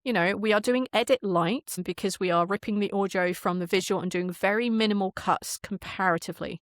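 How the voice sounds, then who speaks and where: A woman chatting casually as a podcast guest, telling things in an animated, enthusiastic way. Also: mid-range voice, brisk, dry recording, clean studio sound. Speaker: podcast guest, audio drama creator and game master. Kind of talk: casual interview chat.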